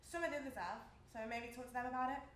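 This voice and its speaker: A woman's voice: high-pitched, rapid-fire, close to an everyday voice. A young woman acting on stage as a guarded, dismissive co-worker with a blunt, clipped manner. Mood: guarded, curt. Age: young adult. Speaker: actor in a stage play. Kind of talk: live stage acting, naturalistic dialogue. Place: indoor stage performance space. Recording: echoey room with clear background noise.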